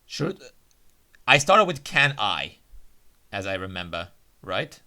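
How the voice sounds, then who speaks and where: A man's voice: low voice, very animated, unhurried, pushed and bright. A man reading a visual novel aloud as game narration, with occasional asides of his own. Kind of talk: narrated read-aloud let's play with asides.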